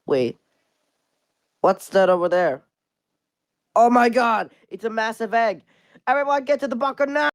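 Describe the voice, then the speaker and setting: A man's voice: very high voice, measured pace, pauses often, no room sound. A man playing a local TV news reporter giving a breaking report. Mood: alarmed. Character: local TV news reporter facing giant monster eggs.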